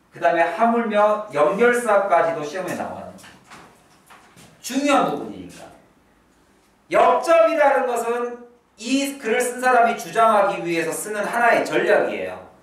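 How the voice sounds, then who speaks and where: A middle-aged man lectures on exam prep in an academy classroom, his voice sitting a little high and swinging widely in pitch. He punches key points, then sinks to quiet murmured asides. The sound carries a heavy room echo, picked up from some distance with a faint hiss behind it. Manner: lively, emphatic, drops to a low murmur for asides. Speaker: English teacher.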